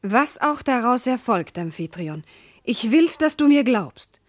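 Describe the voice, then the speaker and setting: An actress playing a proud, loving, wounded noble wife in classical verse radio drama, speaking in an earnest, elevated way. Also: female voice, a little high, sing-song, a little slow. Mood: indignant, wounded.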